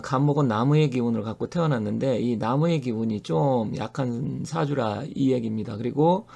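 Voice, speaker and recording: Gravelly, mellow-voiced man lecturing earnestly. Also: mid-range voice. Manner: earnest, opinionated, explanatory, with frequent pauses and fillers. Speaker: fortune-telling channel host. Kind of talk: solo monologue to camera.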